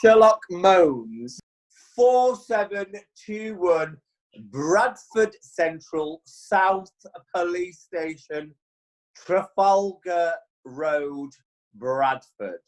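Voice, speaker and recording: An actor playing a solemn, self-important police detective in a comic radio play, halting and deliberate. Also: male voice, high voice, lively intonation, heavily acted, low-quality recording.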